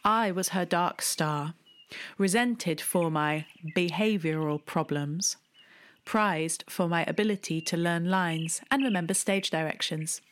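A woman, telling a personal memoir in a wry, deliberate way, with hushed asides. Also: on the low side. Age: 30s. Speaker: storyteller. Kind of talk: memoir storytelling.

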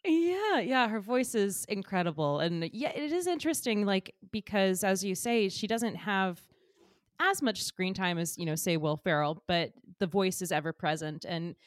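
A woman's voice: mid-range voice, animated, normal speed, no echo, clean studio sound. A woman co-hosting a podcast, reflecting at length and asking questions, laughing often. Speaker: podcast co-host. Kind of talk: casual podcast chat.

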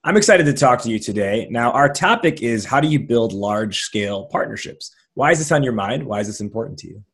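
A man hosting an interview podcast, delivering a lively, sing-song scripted intro before chatting with his guest. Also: medium pitch, a little fast, breathy edge. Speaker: podcast host.

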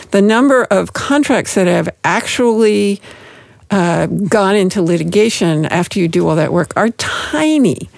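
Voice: medium pitch, gravelly, no room sound. A middle-aged business lawyer on a panel, explaining at length with frequent restarts. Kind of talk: panel discussion.

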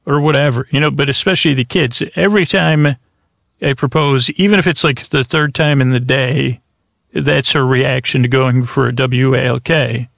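Gravelly, meandering male bedtime podcaster. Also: mid-range voice, fairly even intonation, a bit bright, no room sound, studio quality. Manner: meandering, halting, digressive. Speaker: podcast host. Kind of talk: rambling bedtime monologue meant to lull listeners to sleep.

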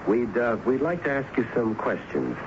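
An actor playing a courteous, patient police lieutenant in a 1970s radio mystery, speaking a bit haltingly. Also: male voice, mid-range voice, very animated, natural voice. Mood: patient, firm. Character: polite, steady male police lieutenant, a detective. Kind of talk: 1970s radio drama acting. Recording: noisy, dull 1970s radio broadcast.

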